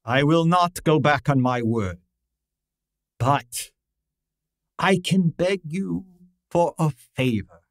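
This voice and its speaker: A man's voice: fairly high pitch, animated, takes it slowly, pauses often, dry recording, clean studio sound. A man playing a pompous, exacting private detective in an old-time radio drama, with formal, precise and theatrical diction. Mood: formal, pleading.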